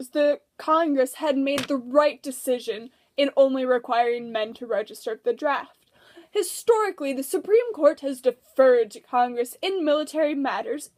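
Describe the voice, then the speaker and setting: Woman narrator, high pitch, scripted educational explanation. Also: darkish tone.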